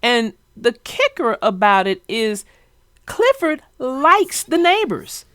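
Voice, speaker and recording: A woman's voice: on the high side, sing-song, slow, fairly bright tone, no room sound, clean studio sound. A woman hosting a children's read-aloud show, chatting about a story with young viewers.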